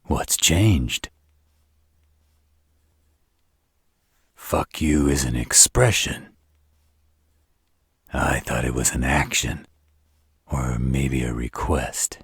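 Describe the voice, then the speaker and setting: A man playing an arrogant, flirtatious vampire in a roleplay audio drama, with a husky voice and a smug, taunting delivery. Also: a bit bright, no echo, clean studio sound, full character voice. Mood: smug, teasing. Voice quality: very deep, husky, rough. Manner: smug, taunting, slow drawl. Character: arrogant, teasing, flirtatious adult male vampire. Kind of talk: roleplay audio drama monologue addressed to the listener.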